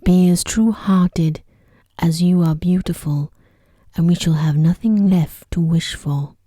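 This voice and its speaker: A woman voicing a tender, pleading young prince in a hushed, whispery ASMR storybook reading. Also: fairly low pitch, unhurried, no echo, studio quality. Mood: tender, reassuring. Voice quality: soft, whispery. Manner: earnest, gentle, hushed.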